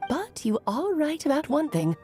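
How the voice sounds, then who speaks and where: Dramatic fancy mage lady, lively swoopy voice, soft music behind. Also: high-pitched, moderate pace. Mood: grand. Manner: dramatic, grand, playfully self-assured. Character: elegant theatrical woman mage, grand storyteller. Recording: game audio with faint music.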